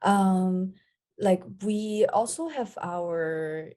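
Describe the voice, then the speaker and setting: Slow, hesitant Hong Kong woman. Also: mid-range voice, warm tone. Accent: Hong Kong English. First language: Cantonese. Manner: hesitant, many fillers. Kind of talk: casual interview answer.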